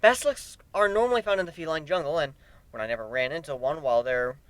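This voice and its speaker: A man's voice: on the high side, quick pace, no echo. A man narrating a first-person story dramatically, as an amateur reader.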